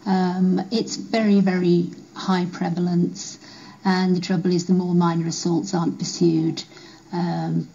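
Low, creaky-voiced British woman, even delivery. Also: a little slow. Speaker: nurse interviewed on the radio. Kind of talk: radio interview answers. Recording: dull sound from a radio speaker.

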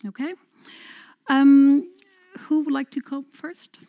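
A woman's voice: high-pitched, level tone, takes it slowly, narrow, tinny audio. Woman, Irish accent, panel moderator, upbeat, polite, conference stage.

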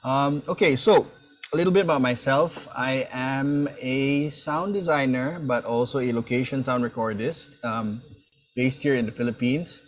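A man speaks informally as an instructor introducing a class, haltingly, often repeating words. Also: mid-range voice. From Malaysia. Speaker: sound design instructor and mentor. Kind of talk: informal introductory talk at an online open house. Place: indoor room, on a video call.

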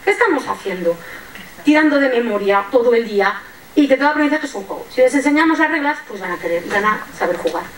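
A woman's voice: fairly high pitch, animated, quick pace. A woman with an accent from Spain gives an informal, enthusiastic talk as a teacher at a conference. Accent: Spain Spanish. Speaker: primary school English teacher presenting. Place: lecture room with audience, indoors. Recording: echoey room, distant, faint noise.

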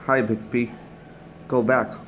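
A man's voice: mid-range voice, level tone, slow, a bit bright. A man giving a casual product demonstration, recorded on a phone. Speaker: phone demo presenter. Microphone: handheld phone. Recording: noticeable background noise, phone-recorded.

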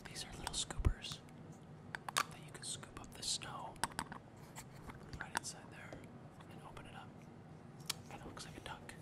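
A man's voice: normal speed, pauses often. A man in his thirties whispering close to a desktop microphone as he hosts an ASMR unboxing. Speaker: host of a family video channel, a dad. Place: indoor room at a wooden table. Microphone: desktop microphone on a small tripod, close up.

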